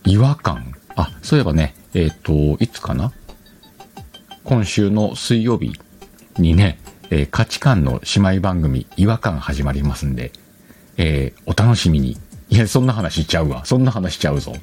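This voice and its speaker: A man's voice: deep voice, big pitch swings, normal speed, darkish tone, faint music behind. A man hosting a solo podcast in a casual chat, playfully talking to himself and correcting himself as he goes. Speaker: podcast host. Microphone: external microphone, recorded on a computer.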